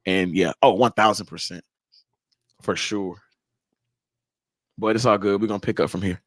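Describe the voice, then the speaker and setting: A man's voice: fairly low pitch, steady tone, normal speed, no room sound. Laid-back young man hosting a podcast. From Haiti. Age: 20s. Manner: laid-back, slangy, laughs often. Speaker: podcast host. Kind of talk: casual chat.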